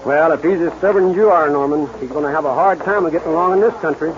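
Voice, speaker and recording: A man's voice: fairly high pitch, fast talker, close to an everyday voice. An actor playing a taunting, insolent cowboy henchman with an American accent in a 1940s radio western. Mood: menacing. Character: sneering cowboy henchman, mocking bully. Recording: noisy muffled 1940s radio recording.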